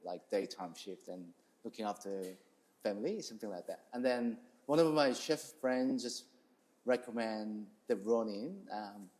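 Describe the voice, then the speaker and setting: Male chef as interview guest, Korean accent, lowish voice, halting and casual delivery. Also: a little mellow, poor audio. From South Korea. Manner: hesitant, halting, non-native English phrasing. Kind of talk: casual interview, telling their story.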